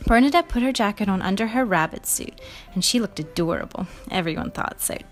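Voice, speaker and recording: A woman's voice: medium pitch, lively intonation, a little fast, soft background music. A woman hosting a read-aloud, telling a storybook to young children with emphatic expression. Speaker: storybook reader and show host.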